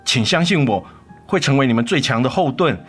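A gentle, pleading father in a radio drama, speaking in a mid-range voice. He is earnest, coaxing and full of regret, over a bed of music. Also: medium pitch, lively intonation, fairly quick, breathy edge. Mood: remorseful, pleading. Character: remorseful, pleading father and ex-husband, tender. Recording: music under the voice.

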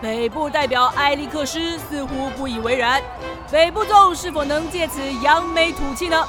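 An excitable female contest announcer played by a woman in a children's radio drama. Her voice is very high and she calls the event like a sports match, over music and crowd noise. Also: moderate pace, natural voice. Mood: dramatic suspense. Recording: music and crowd sound behind.

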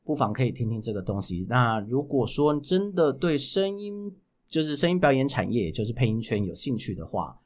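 A man's voice: mid-range voice, big pitch swings, a little fast, darkish tone. A man with a Taiwanese Mandarin accent answering interview questions as a voice actor, explaining things with examples and repeating key points. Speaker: professional voice actor, podcast guest.

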